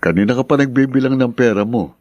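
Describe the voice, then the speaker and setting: Actor as a blunt, exasperated younger pal, dry sound. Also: male voice, mid-range voice, fast, a little mellow, no room sound, highs cut off, faint music behind, close to an everyday voice. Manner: blunt, nagging. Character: blunt, exasperated younger male friend, sensible and teasing. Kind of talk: radio drama acting.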